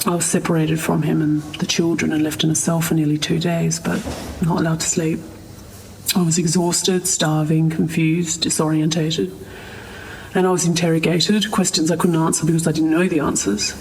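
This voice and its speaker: An actress playing a traumatised mother in an audio drama, haltingly recounting her ordeal as a tortured prisoner. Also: low voice, gravelly, darkish tone, slight background noise. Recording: long reverberant echo.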